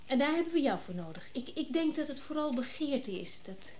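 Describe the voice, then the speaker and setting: Radio drama role: candid, flirty wealthy wife, voice on the higher side, chatty, halting delivery. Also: female voice, big pitch swings, darkish tone. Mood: candid, reflective. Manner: chatty, hesitant, breaking off mid-thought. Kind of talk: naturalistic radio drama acting.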